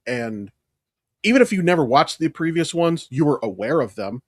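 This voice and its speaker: An American man co-hosting a podcast in casual chat, rambling and halting as he thinks aloud. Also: a little high, sing-song, no echo, studio quality. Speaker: podcast co-host. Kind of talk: casual chat about comics and films.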